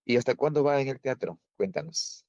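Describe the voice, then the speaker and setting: A man from Peru hosting an interview, asking questions in a measured, affirming way. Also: mid-range voice, creaky, darkish tone, dry recording. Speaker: interviewer host. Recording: dull, dry sound.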